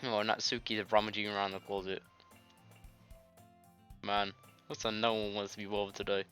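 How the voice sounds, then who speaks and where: A man's voice: on the low side, level tone, normal speed, sharp tone, clean tone. A man playing a game on a recording, reading its dialogue aloud and adding crude, jokey, informal asides. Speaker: gamer doing a let's play. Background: game music.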